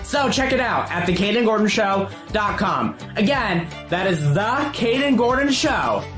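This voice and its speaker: A woman reading a scripted radio promo in a bright, lively way. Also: mid-range voice, unhurried. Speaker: promo announcer. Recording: echoey promo over music.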